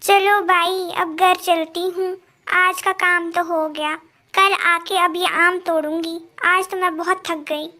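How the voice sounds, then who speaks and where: A woman's voice: very high voice, little pitch movement, slow pace, pushed and bright, heavily acted. A woman voicing a hardworking, anxious mother bird in a cartoon story, speaking in an earnest, pleading manner. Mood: tired. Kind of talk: Hindi cartoon story dialogue.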